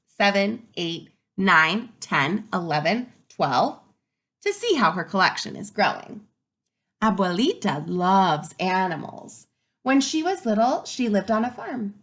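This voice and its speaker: A woman kindergarten teacher reading a picture book aloud to young children in an expressive way, pausing to wonder aloud. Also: a little high.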